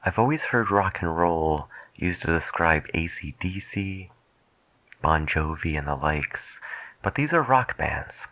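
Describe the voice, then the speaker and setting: A man speaking softly and breathily as a late-night radio DJ in an ASMR style, musing in an unhurried way close to the microphone. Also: sits low, expressive, no room sound. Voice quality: breathy, whispery. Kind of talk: soft-spoken ASMR radio DJ roleplay.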